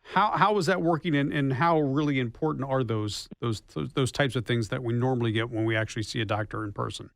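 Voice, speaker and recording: A man's voice: medium pitch, brisk, vocal fry, hardly any pauses, no room sound. A man hosting a broadcast interview, asking questions in a conversational way. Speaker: radio show host interviewer.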